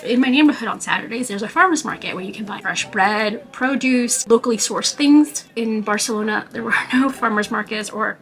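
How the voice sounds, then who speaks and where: A young woman chats to her camera in a relaxed, loose vlog style. Her voice sits a bit higher than most, and her sentences run on with plenty of "like" and "you know." She sounds easygoing and conversational. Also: a little fast, hardly any pauses, poor audio. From New York. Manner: chatty, with frequent "like" and "you know" fillers. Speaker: vlogger. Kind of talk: casual talking-to-camera vlog.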